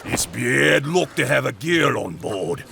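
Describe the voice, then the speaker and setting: Gravelly, loud pirate crewman. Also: mid-range voice, big pitch swings, unhurried, exaggerated voice. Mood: grumbling, indignant. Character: loud, superstitious, leering male pirate crewman.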